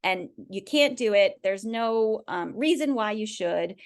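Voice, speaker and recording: A woman's voice: medium pitch, animated, measured pace. A woman speaking as an expert podcast guest, explaining in a conversational way and often checking in with the listener.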